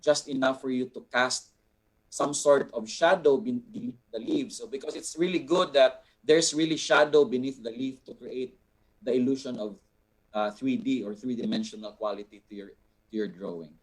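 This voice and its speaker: A man with a Filipino accent explaining as an art teacher, deliberately repeating and rephrasing his points. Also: medium pitch, low-quality recording. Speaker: art teacher, resource person. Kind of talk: explanatory lesson, answering a question.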